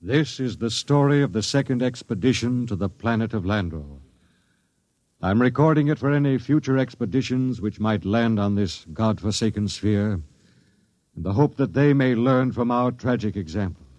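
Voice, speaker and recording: An actor playing a grim, doomed space expedition captain in a 1950s radio drama, solemn and stern. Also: male voice, mid-range voice, expressive, normal speed, darkish tone, no room sound, natural voice. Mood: grim, resigned. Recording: dull vintage radio recording with music.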